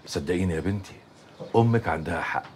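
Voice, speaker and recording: An actor playing a calm, middle-aged father who soothes and mediates his family in an Egyptian Arabic accent. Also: low-pitched, fast talker, a little hiss or hum, close to an everyday voice. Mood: placating.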